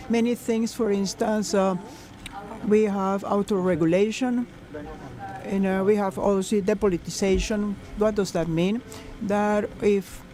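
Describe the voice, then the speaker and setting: A man interpreting a conference panel into English live, halting, with many fillers and self-corrections. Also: high voice, darkish tone. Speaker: simultaneous interpreter.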